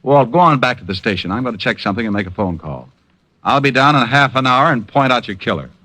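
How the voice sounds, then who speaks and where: A man's voice: mid-range voice, expressive, natural voice. An actor playing a tough, wisecracking male private detective in an old radio drama, who also narrates the story. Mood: wry. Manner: wisecracking, quick comebacks. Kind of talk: 1950s radio drama acting.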